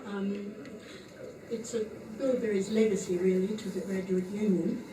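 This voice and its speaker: A soft-spoken woman from a charitable trust presenting a cheque at an evening function, halting with fillers. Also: mid-range voice, steady tone. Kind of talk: formal presentation speech. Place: function room at an evening party. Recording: echoey room, far-off mic, background noise.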